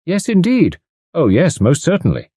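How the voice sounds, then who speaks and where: A man voicing a fussy, excitable little elderly English gentleman with a prim, eager manner. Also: on the high side, normal speed, slightly rough, no echo, studio quality, pitched above the performer's own voice, heavily acted. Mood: excited.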